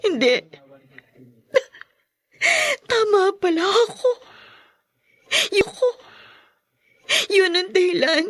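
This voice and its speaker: Brief: high-voiced hurt young wife, tearful, dry close sound. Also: female voice, expressive, extremely slow, pauses often, no room sound, exaggerated voice. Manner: pleading, tearful. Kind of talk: radio drama acting.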